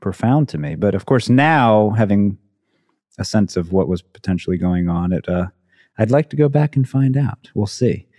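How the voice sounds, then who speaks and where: A man's voice: on the low side, lively intonation, moderate pace, dark tone, no room sound, studio quality. Man in his thirties, hesitant and thoughtful. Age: 30s. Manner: thoughtful, hesitant, searching for words. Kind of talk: casual conversation.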